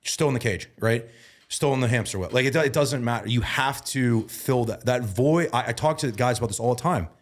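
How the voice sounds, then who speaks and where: A man in his thirties talking as a guest on a casual podcast. He sounds earnest, repeats himself and restarts a lot, and grows emphatic and louder when he starts preaching. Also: mid-range voice, fairly quick. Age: 30s. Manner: repeats words and restarts often. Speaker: guest, bodybuilding and mindset coach.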